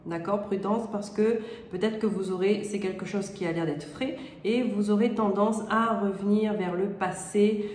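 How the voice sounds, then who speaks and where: A woman reading tarot cards aloud while she has a cold, her nose stuffy. Her voice is middle-pitched and she talks in a hesitant, rambling way, often checking in with her listener. The room echoes, with faint noise behind her. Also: fairly even intonation, moderate pace, darkish tone. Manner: hesitant, many fillers and rephrasings. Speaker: tarot card reader. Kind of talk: casual spoken tarot reading.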